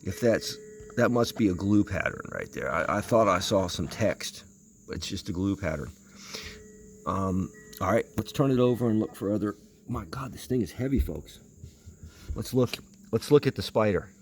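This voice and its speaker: A man's voice: fairly low pitch, expressive, moderate pace, gravelly, a little hiss or hum. A man giving unscripted hands-on commentary as he inspects something, rambling hesitantly and muttering to himself. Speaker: vintage audio enthusiast presenting a video.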